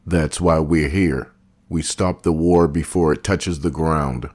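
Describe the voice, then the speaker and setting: A man playing a resolute, measured superhero team leader with a grave, commanding manner in an audio drama. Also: a bass voice, fairly even intonation, darkish tone, no room sound, clean studio sound, exaggerated voice.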